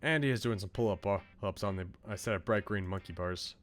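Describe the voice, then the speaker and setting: A man livestreaming a visual novel, commenting and reading aloud in an expressive way. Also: fairly low pitch, a little fast, faint music behind. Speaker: game streamer.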